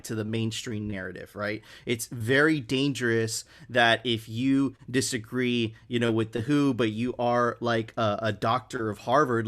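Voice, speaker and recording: A man from Venezuela, co-host of a podcast, talking casually and often restarting his sentences, with "right?" tacked on. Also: medium pitch.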